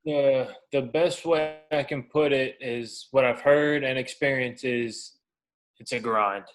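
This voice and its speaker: A man's voice: mid-range voice, takes it slowly, low-quality recording. A man in his twenties, a professional ballplayer, talking casually and candidly in an interview, using colloquial, anecdotal phrasing. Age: 20s. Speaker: professional baseball pitcher being interviewed.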